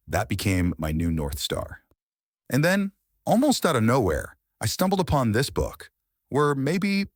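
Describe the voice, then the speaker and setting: A man in his thirties whose first language is Farsi, a meditation teacher and life coach telling his personal story in a reflective, animated way. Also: on the low side, no echo, studio quality. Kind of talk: personal storytelling podcast monologue.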